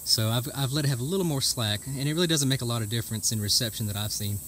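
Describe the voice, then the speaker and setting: A man's voice: medium pitch, racing, fairly bright tone. A man giving a casual, plainspoken, folksy how-to explanation, recorded outdoors on a handheld camera. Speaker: homesteader giving a how-to demonstration. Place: outdoors in a rural yard. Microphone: handheld camera or phone mic. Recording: outdoor ambient noise with insect chirping.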